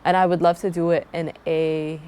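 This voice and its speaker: A woman's voice: on the low side, level tone, normal speed, warm tone, slight background noise. A young woman from Croatia introducing herself and her travel channel, talking in a thoughtful, rambling way with frequent restarts. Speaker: travel vlogger. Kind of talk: casual self-introduction to camera.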